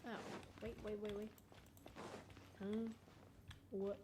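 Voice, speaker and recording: A woman's voice: medium pitch, flat delivery, takes it slowly. A young woman giving informal, slangy commentary while she plays a video game. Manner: informal, slangy, muttering reactions. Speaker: gamer narrating gameplay. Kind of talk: casual let's play commentary. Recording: home gaming recording with noise. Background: game audio and ambience.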